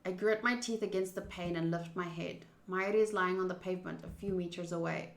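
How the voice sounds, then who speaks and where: A woman's voice: fairly low pitch, steady tone, fairly quick. A woman streamer reading a visual novel aloud expressively, with casual asides. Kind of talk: dramatic read-aloud of game text with casual asides. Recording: roomy, echoing sound.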